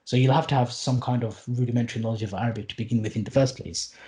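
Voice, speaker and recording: Soft-toned man in his late twenties. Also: medium pitch, fairly even intonation, very fast. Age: late 20s. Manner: rambling with frequent restarts and fillers, earnest, wry asides. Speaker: podcast guest, Arabic teacher. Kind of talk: casual conversational interview.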